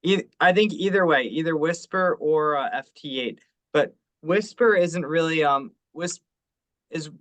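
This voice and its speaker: A man with a fairly high-pitched voice speaks in an informal online meeting. He sounds like an organizer and teacher, talking in an explanatory, conversational way. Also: a little slow. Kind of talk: casual online meeting talk. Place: remote teleconference call.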